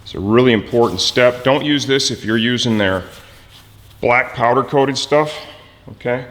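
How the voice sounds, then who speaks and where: A man presenting a hands-on mechanical how-to, instructive and often checking in with a quick "okay". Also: mid-range voice, fairly bright tone, long reverb tail.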